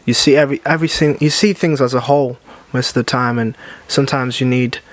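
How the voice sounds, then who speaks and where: A man's voice: medium pitch, fairly even intonation, quick pace, dry recording. Easygoing man from Nigeria, dry sound. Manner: easygoing, many "um"s and restarts. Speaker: guest singer. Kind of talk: casual podcast chat.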